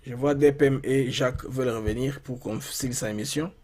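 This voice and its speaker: A man's voice: mid-range voice, steady tone, fairly quick, a little mellow. A Congolese man hosting a live phone-in in a casual, enthusiastic way, often repeating his phrases. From Democratic Republic of the Congo. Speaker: call-in show host.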